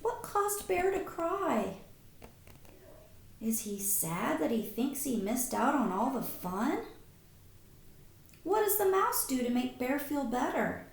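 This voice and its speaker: A woman teacher reading a picture book aloud to young children, expressive, with simple questions, in an echoey room. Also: high voice, slow pace, gravelly, pauses often. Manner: animated, simple child-directed phrasing.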